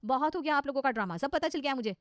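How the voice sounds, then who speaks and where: A woman's voice: high-pitched, expressive, rapid-fire, no room sound, clean studio sound. A woman voicing an earnest, dutiful young daughter-in-law in a cartoon story, with an outspoken manner. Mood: angry.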